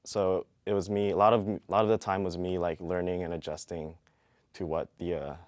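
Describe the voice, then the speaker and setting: A young man answering interview questions in a measured, reflective way. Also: sits low, level tone, a little mellow, dry recording, studio quality.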